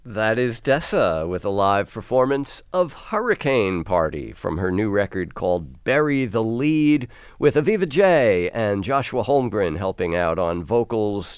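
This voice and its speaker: Smooth, animated American male radio host. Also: fairly low pitch, dry recording, studio quality. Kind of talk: conversational radio hosting.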